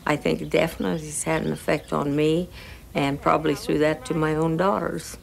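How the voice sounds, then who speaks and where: A woman's voice: low voice, little pitch movement, a little fast, vocal fry. An older woman from western Kansas speaking reflectively in a documentary interview, in thoughtful phrases. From western Kansas, United States. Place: indoors in a wood-panelled room. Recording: background noise, room ambience.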